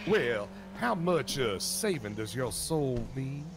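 A man's voice: medium pitch, sing-song, takes it slowly, noisy background. A man playing a flamboyant revivalist street preacher in an online role-play, theatrical and repetitive in his exhortations. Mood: sly, grandiose.

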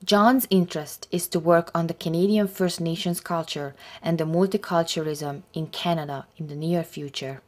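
A woman's voice: on the low side, steady tone, normal speed, hardly any pauses. A woman narrating a documentary in a plain, scripted reading.

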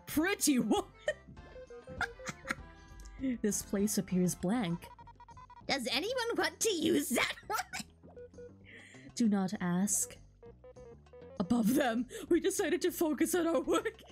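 A woman's voice: high-pitched, sing-song, slow, pauses often, darkish tone. A woman streamer reading video game dialogue aloud with casual commentary, in a giggly manner that often breaks up her words. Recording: music behind the voice. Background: video game music.

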